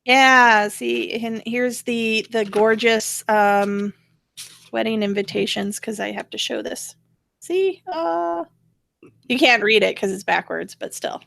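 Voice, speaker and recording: A woman's voice: fairly high pitch, slow, creaky, fairly bright tone, no echo. Casual woman host in a dry room. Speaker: talk show host. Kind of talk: casual conversational commentary.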